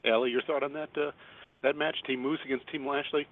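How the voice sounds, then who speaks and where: A man's voice: medium pitch, steady tone, brisk, no echo. A man casually putting interview questions to guests on a conference call. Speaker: moderator asking questions. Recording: tinny dry phone line.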